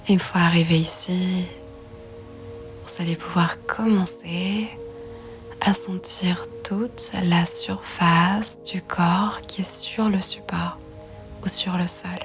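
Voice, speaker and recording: A woman guiding a relaxation meditation in a soft, breathy, half-whispered voice, soothing and gentle. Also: lowish voice, steady tone, slow pace, soft background music. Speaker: yoga nidra guide.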